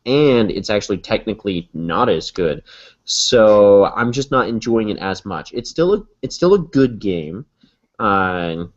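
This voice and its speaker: A man co-hosting a casual podcast, measured and hesitant, with many fillers. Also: fairly low pitch. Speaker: podcast co-host. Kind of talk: casual chat. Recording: lo-fi podcast audio.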